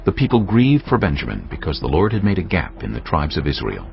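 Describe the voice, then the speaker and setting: A man giving a solemn, measured, dramatic narration of scripture. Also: sits low, huge pitch swings, creaky. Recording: dull lo-fi sound. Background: music.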